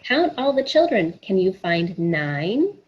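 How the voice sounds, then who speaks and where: A woman's voice: mid-range voice, sing-song, takes it slowly, slightly rough, dull audio. A woman hosting a children's story time, reading aloud and counting along in an encouraging, sing-song way. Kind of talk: picture book read-aloud for children.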